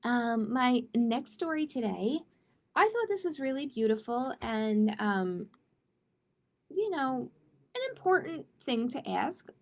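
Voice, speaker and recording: Female storytime reader for kids: chatty, expressive, very slow delivery with plenty of vocal fry. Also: fairly high pitch. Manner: expressive, chatty, addresses young listeners directly. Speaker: library storytime host reading picture books. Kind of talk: children's read-aloud with casual chat.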